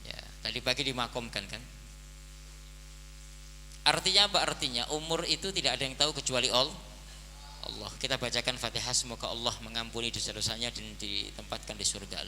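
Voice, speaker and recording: A man in his forties gives a slow, measured sermon to his students. His voice is very bright and carries a long hall reverb. A faint hum sits behind him. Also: medium pitch, gravelly. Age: 40s. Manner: slow, measured preaching with the repeated filler "nah, ini". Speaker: head of an Islamic boarding school. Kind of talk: birthday speech and sermon to students.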